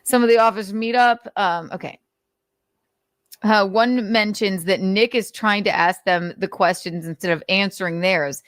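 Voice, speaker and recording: A woman's voice: medium pitch, moderate pace, no room sound, clean studio sound. A woman hosting an informal dramatic reading of notes, with amused, sardonic asides. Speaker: host reading notes aloud.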